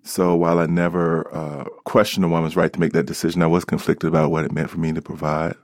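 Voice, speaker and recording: Very deep-voiced American man, dry sound. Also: little pitch movement, quick pace, a little mellow, dry recording. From Alabama, United States. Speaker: doctor, interview guest. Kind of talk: reflective interview talk.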